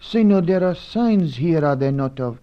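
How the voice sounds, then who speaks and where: An actor playing a cautious, deferential sidekick, speaking formally in an old radio serial. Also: male voice, on the high side, animated, normal speed, natural voice. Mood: cautious, polite. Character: cautious, courteous male Latin sidekick to a spy. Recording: dull old radio recording.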